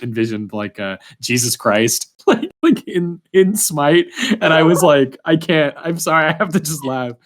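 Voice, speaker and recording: Casual male podcast host, mid-pitched. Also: a bit bright. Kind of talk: casual interview chat.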